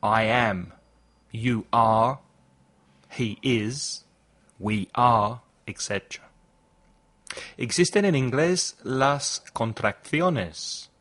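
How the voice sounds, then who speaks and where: A man hosting a language podcast, reading a scripted lesson and saying model phrases slowly and clearly for listeners to repeat. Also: medium pitch, fairly bright tone. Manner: slow, carefully articulated model phrases for repetition. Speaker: English teacher, podcast host.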